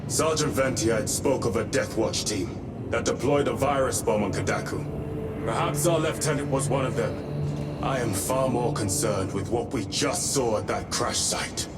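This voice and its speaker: An actor playing a grave, loyal space marine in a video game, speaking in a formal, solemn way. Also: male voice, medium pitch, measured pace, recorded from a distance, loud music behind the voice, natural voice. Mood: grave, concerned. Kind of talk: cinematic video game acting.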